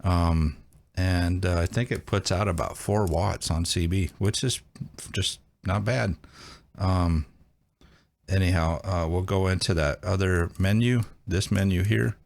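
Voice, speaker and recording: A man's voice: low voice, fairly even intonation, unhurried, creaky. A man hosting a casual hands-on how-to, talking informally and thinking aloud as he demonstrates each step. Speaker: host of a radio how-to channel.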